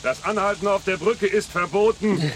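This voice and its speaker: A man's voice: high-pitched, normal speed, a bit bright, slight background noise, poor audio. An actor playing an officious but gullible, jovial bridge policeman in a crime radio play, bossy and pedantic yet chummy. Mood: stern, officious.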